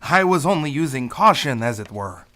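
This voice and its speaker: A man's voice: mid-range voice, very animated, moderate pace, sharp tone. An actor playing a fussy, slyly polite male crook in an old-time radio drama, prim and pedantic.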